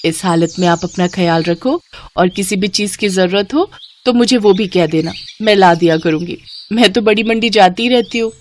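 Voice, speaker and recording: A woman voicing a kind young vegetable seller in an animated story, speaking brightly and eagerly. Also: medium pitch, normal speed, a little hiss or hum, close to an everyday voice.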